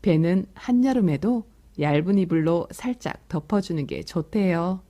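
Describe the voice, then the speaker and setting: Casting: female picture-book narrator for young kids, very mellow, slightly low voice, soothing child-directed commentary. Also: lively intonation, normal speed. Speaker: storytelling teacher for young children.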